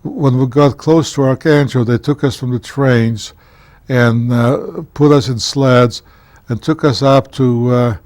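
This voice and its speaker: A man in his seventies, from Poland, telling his life story earnestly and haltingly as a guest on a public access TV interview. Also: medium pitch, darkish tone, dry recording. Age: 70s. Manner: earnest, halting, measured recollection. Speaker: guest author, former county commissioner.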